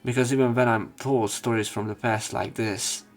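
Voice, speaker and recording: A man reading visual novel lines aloud as let's play narration, halting at times and correcting himself. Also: medium pitch, steady tone. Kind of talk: read-aloud narration and commentary of game dialogue. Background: game music.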